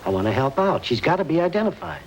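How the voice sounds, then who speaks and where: A man's voice: a little high, big pitch swings, fast talker, creaky, low-quality recording. An actor playing an eccentric older ex-circus man who rambles insistently, in a 1950s radio drama. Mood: rambling. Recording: old radio broadcast with hiss.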